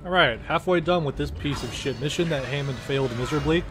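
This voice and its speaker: A man's voice: on the high side, quick pace, noisy background, natural voice. A man voicing a sarcastic, foul-mouthed space engineer in a comedic game parody, blunt and exasperated.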